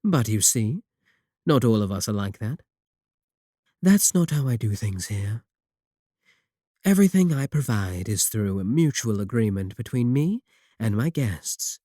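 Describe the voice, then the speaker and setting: A man playing a courteous, manipulative warlock shopkeeper in a roleplay audio, coaxing and flattering his guest with polite, unhurried talk. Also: lowish voice, no room sound, clean studio sound. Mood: smooth, persuasive. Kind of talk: second-person roleplay audio acting.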